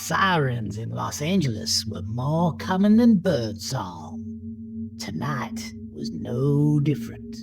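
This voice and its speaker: A man reading a scripted horror story as narrator, in a brooding, deliberate, dramatic manner. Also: mid-range voice, big pitch swings, creaky, a crowd in the background.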